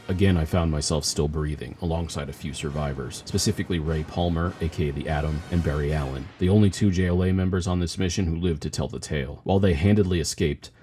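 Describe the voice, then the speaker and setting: A man's voice: deep voice, a little mellow, natural voice. A man playing a weary, haunted cop who survived disaster, narrating a grave scripted audio log. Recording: voice over background music.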